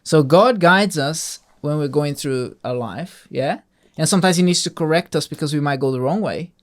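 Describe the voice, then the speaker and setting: A man's voice: a little high, moderate pace. A man talking casually with a young child about a Bible passage, explaining simply and often asking check-in questions. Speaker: host, parent teaching a child a Bible passage.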